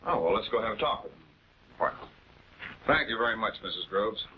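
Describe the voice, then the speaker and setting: A man's voice: lowish voice, animated, lightly acted. A man playing a composed, affable public prosecutor in a late-1940s television crime drama, speaking in a polite, conversational way. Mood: politely probing. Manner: conversational, polite, measured questioning. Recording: dull, noisy 1940s film soundtrack.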